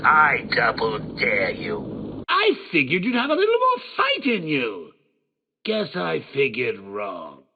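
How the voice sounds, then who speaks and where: An actor playing a cackling, unhinged male clown villain who taunts his nemesis in a theatrical, mocking way, swinging between whispers and shouts. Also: medium pitch, wildly swooping pitch, takes it slowly, narrow, tinny audio, exaggerated voice. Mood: gloating. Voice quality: gravelly, with manic laughter. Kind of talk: video game voice acting.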